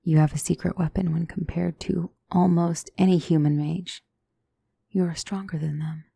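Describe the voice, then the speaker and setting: Woman acting a low, mellow, gentle demon tutor. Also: fairly even intonation, vocal fry, breathy edge, no room sound, studio quality, heavily acted. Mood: calm, instructive. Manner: measured, deliberate, soft-spoken, instructive. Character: gentle demonic female tutor, calm strategic battle mentor. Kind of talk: scripted ASMR roleplay.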